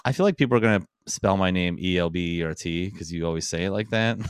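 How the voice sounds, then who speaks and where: A man's voice: deep voice, fast talker, slight background noise. A man co-hosting a podcast, chatting casually and laughing often at the jokes. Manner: laughing, joking. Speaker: podcast co-host.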